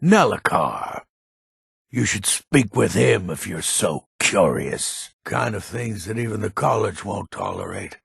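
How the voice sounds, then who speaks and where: An actor playing a grumpy, defiant old man in a fantasy video game, with a raspy, gravelly voice and a gruff, theatrical, emphatic delivery. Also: lowish voice, sing-song, a little slow, no echo, studio quality, exaggerated voice. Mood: secretive, grave. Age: older.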